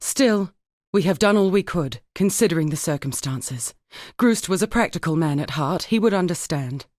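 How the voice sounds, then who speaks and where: A woman playing an earnest, formal woman scholar in a dark fantasy video game, speaking in a measured way. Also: on the low side, expressive, no echo, clean studio sound, natural voice. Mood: sorrowful, pleading. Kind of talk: scripted dramatic game dialogue.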